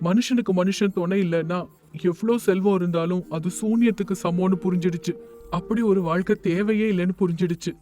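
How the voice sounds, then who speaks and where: A man playing a devoted, repentant son in an animated family story, speaking solemnly. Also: high voice, fairly quick, close to an everyday voice. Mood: earnest remorse. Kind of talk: animated moral story dialogue. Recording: music under the voice.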